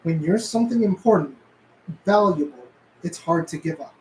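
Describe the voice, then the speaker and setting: A man's voice: a little high, expressive, moderate pace, a little mellow, a little hiss or hum, natural voice, rough recording. Man playing a reluctant, wounded survivor. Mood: gentle, pensive. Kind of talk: script table read.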